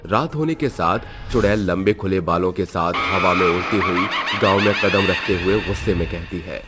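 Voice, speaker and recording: A man's voice: low-pitched, moderate pace, loud music behind the voice. A man narrating a scripted horror story as its storyteller.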